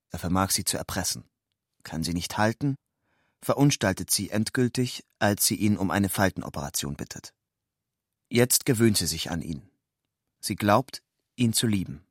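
A man's voice: on the low side, expressive, normal speed, pauses often, dry recording, studio quality. A man reading literary prose as a matter-of-fact narration.

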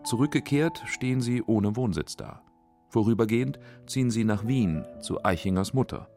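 A man's voice: fairly low pitch, expressive, normal speed, warm tone, faint music behind. A man narrating a scripted radio feature as its documentary narrator.

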